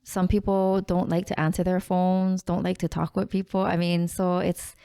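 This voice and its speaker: A woman's voice: fairly low pitch, flat delivery, moderate pace, dark tone, clear, resonant tone. A woman defense attorney from Hawaii, talking in a podcast studio and explaining legal matters in a confident, direct way. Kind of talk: casual interview conversation. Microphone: studio microphone with headphones.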